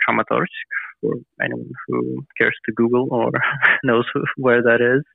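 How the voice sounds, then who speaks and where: A man, a podcast guest, explaining things carefully and pausing to find his words over a tinny phone-like line. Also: mid-range voice, flat delivery, vocal fry, no echo. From eastern Ukraine. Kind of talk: casual podcast conversation.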